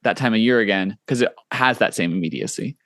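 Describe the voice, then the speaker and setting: A young man hosting a podcast interview, talking in a relaxed, casual way, full of "like" and "you know", quick to agree and encourage. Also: on the low side, no echo, clean studio sound. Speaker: podcast host.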